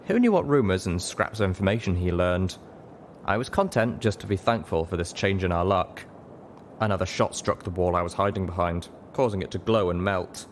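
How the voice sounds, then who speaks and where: A man's voice: deep voice, lively intonation, a little fast, a little hiss or hum. A man reading first-person fiction aloud as scripted audiobook narration. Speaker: audiobook reader.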